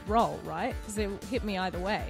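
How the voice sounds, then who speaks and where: A woman's voice: mid-range voice, moderate pace, gravelly, soft background music. A woman playing a tabletop game, talking casually in a playful, cheeky manner.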